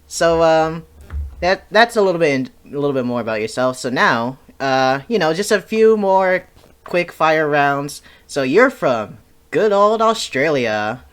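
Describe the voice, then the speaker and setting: A man hosting a podcast, chatting casually with a guest over a call, relaxed and joking, with plenty of fillers. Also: fairly high pitch, unhurried, clean tone. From United States. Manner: laid-back, joking, lots of fillers and laughter. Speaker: podcast host. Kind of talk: casual interview chat.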